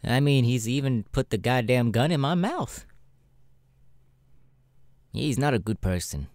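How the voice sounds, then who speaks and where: A man's voice: mid-range voice, animated, measured pace, darkish tone, no echo. A man voicing a jaded, sassy, foul-mouthed male spider demon with a sardonic, weary drawl in an ASMR audio roleplay. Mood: venting, sardonic. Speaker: voice actor, ASMR roleplay artist.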